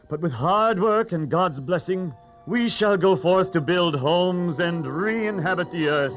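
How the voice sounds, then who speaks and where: A man's voice: high voice, animated, slow. A man playing a devout, patient patriarch who instructs his sons earnestly in a children's Bible radio play. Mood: sorrowful, resolved. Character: Noah, devout, patient old patriarch and father.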